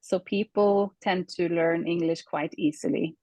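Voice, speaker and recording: A Swedish woman speaks English in a fairly low voice, and the sound is dry and close. She talks casually over a video call. She pauses often while she searches for the right words. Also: steady tone, darkish tone, no room sound. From Sweden. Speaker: interview guest.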